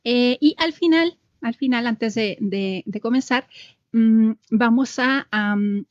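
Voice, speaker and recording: A woman hosting a live writers' panel in a clear, upbeat voice. Her pitch sits fairly high as she explains how things will go. The sound is dry and close to the mic. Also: slow, no room sound, dull audio. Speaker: host, moderator.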